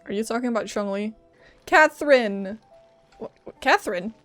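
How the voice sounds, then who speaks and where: A woman streaming a game live, chatting and reacting in an expressive, dramatic, exclamatory way. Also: fairly high pitch, moderate pace, no echo. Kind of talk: live gameplay reaction chat. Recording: close dry mic over game music. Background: game music.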